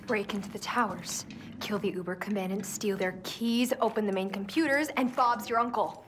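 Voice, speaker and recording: A woman's voice: mid-range voice, normal speed, noisy background, close to an everyday voice. An actress playing a blunt, eager young woman fighter in a video game. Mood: determined. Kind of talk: video game cutscene acting.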